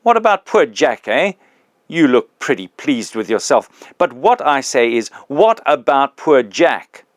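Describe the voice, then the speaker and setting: A blustering big male ghost, put on by a male narrator raises his voice in coarse, self-righteous complaint. His pitch climbs above an everyday male voice and he sounds loud and indignant. The sound is dry and close, with no room around it. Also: very animated, unhurried, dry recording. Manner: loud, indignant, coarse working-class speech. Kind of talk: audiobook reading.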